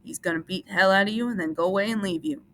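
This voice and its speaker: A woman's voice: medium pitch, fast, fairly bright tone, close to an everyday voice. A woman voicing a giant talking rabbit that taunts with scorn, in a storybook reading. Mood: scornful.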